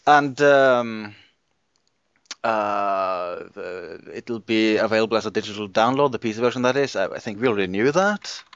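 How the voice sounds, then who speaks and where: A man hosting a casual gaming podcast, talking in halting bursts with frequent restarts. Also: fairly low pitch, a bit bright, smooth, no room sound, highs cut off. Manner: hesitant, lots of restarts and fillers. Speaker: podcast host.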